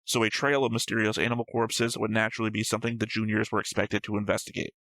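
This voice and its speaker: A man's voice: lowish voice, level tone, fast talker, a bit bright, no echo. A man hosting a reaction show, reading out notes and discussing them casually in a matter-of-fact, slightly pedantic way.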